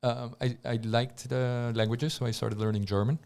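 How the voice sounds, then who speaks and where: A man's voice: mid-range voice, level tone, normal speed, gravelly, a little mellow, slight background noise. A man from Colombia, a guest engineer, telling his story on a casual radio talk show with many restarts and repeated words.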